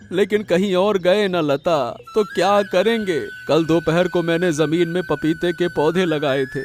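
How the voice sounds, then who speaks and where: Man voicing a bereaved village farmer, stoical, earnest, fairly high pitch, cartoon moral story, background music. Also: darkish tone. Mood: resolute, consoling. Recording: music playing behind the voice.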